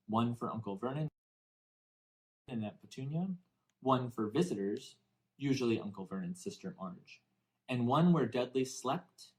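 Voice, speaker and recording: A man reading a children's novel aloud as expressive scripted narration. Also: lowish voice, low-quality recording. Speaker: audiobook reader for English learners.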